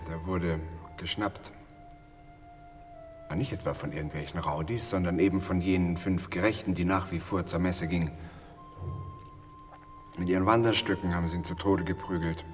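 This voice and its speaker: An actor in a radio play playing a wry, morbid man who tells grisly tales in a halting, rambling way. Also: low voice, long reverb tail, far from the mic. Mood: musing, grim. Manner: halting, rambling storytelling with pauses and dry asides.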